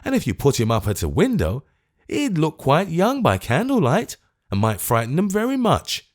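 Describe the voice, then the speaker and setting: A man's voice: a little high, huge pitch swings, moderate pace, pitched above the performer's own voice. A man voicing a testy, fussy, self-important Lord Mayor. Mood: testy, fretful. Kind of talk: audiobook character voice.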